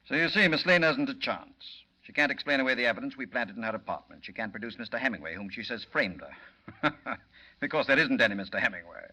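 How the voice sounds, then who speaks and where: An actor playing a cold, smug gang mastermind with precise, condescending diction, in 1940s radio style. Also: male voice, mid-range voice, animated, quick pace, bright, forceful tone, low-quality recording. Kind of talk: 1940s radio villain acting.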